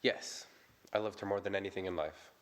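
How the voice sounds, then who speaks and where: An actor playing a charming, flattering playboy in an audio drama who turns defensive and self-deprecating when questioned. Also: male voice, low-pitched, brisk, close to an everyday voice. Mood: wistful. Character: charming, self-pitying young male playboy suitor. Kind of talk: vintage-style radio drama acting.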